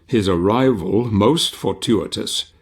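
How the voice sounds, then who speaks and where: Low-voiced, solemn scheming knightly narrator. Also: male voice, creaky. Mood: solemn, foreboding. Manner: solemn, measured, formal storytelling delivery. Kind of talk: dramatic scripted game narration.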